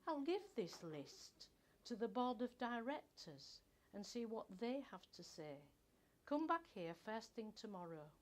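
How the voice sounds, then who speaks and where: A woman's voice: a little high, sing-song, a little slow, voice pushed up. A woman reading a story plays a stern, accusing male office boss, emphatic and pompous.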